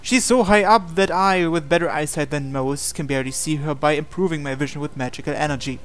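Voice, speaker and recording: A man's voice: fairly high pitch, fairly quick, faint music behind. A man hosting a game playthrough, reading visual novel narration aloud expressively with casual asides.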